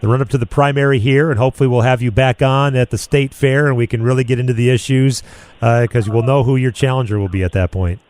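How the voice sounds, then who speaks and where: A man's voice: mid-range voice, fairly even intonation, fast talker, a little mellow. A man with an American accent, hosting a conversational radio interview in a friendly, appreciative manner. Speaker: talk radio host.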